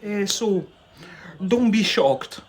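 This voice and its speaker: A man's voice: sits high, animated, takes it slowly. A young man, not a native English speaker, teaching English on a live stream, chatting casually with viewers, often laughing and tagging on "yeah?".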